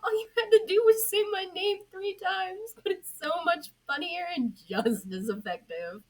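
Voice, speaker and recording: A woman voicing a mischievous, theatrical teenage supernatural being with a breathy, giggly, teasing delivery. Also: extremely high pitch, moderate pace, pitched above the performer's own voice, rough recording. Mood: smug.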